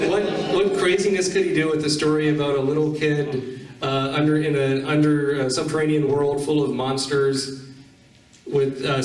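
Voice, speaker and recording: Male panel host, casual and halting, echoey room, picked up from a distance. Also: a little high. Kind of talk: informal convention panel discussion. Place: convention panel room with audience.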